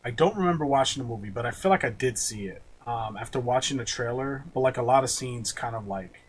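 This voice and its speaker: A man hosting a podcast in casual chat, with a halting, offhand way of talking. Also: medium pitch, animated. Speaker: podcast host. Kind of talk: casual chat, movie ranking. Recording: clearly noisy background.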